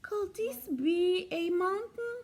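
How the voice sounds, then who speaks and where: Woman voicing a high-pitched, wide-eyed little toy bear. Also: slow pace, a little mellow. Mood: wondering. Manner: wide-eyed, wishful exclamations. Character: small wondering toy bear, childlike and eager. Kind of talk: children's storybook read-aloud.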